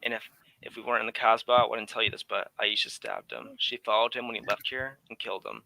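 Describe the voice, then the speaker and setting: Man as blithe English aristocrat, creaky and bright. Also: mid-range voice, little pitch movement, fast, slight background noise, rough recording. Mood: casual, cynical. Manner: theatrical, breezy, genteel. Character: blithe, cynical English aristocrat deserter in exile. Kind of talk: 1940s radio drama acting.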